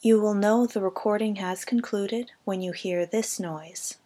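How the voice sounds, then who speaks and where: Woman, meditation guide, calm, gentle, measured scripted delivery, mid-pitched voice, soft phrase endings. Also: background noise.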